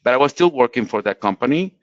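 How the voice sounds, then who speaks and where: A man's voice: mid-range voice, lively intonation, fast, no echo. Man from latin america, english speaker, earnest advice, dry muffled webinar sound. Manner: earnest, explanatory, frequent restarts. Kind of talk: career advice talk.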